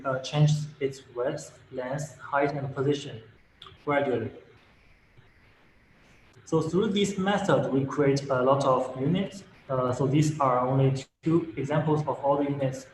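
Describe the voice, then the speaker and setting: A young man walks through a thesis in a steady, explanatory way. His voice sits at a middle pitch. It carries room echo and faint background noise. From Shanghai, China. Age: young adult. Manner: steady explanatory delivery with fillers. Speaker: graduate student presenting. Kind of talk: thesis presentation.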